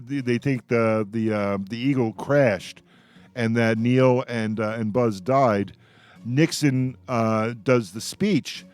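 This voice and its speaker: Man, mid-pitched voice, radio panel host, casual discussion, halting delivery, music in background. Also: a little mellow. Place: radio show.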